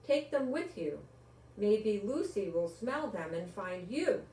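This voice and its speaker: A woman's voice: mid-range voice, sing-song, takes it slowly, darkish tone. Woman reading as a blunt, kindly butcher man, echoey. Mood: helpful. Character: busy, gruff but kindly male butcher. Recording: echoey room, distant microphone.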